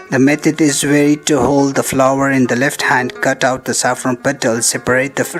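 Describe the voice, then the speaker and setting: A man's voice: mid-range voice, a bit bright, poor audio. A man reading scripted narration for an instructional film in a formal, step-by-step way. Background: background music.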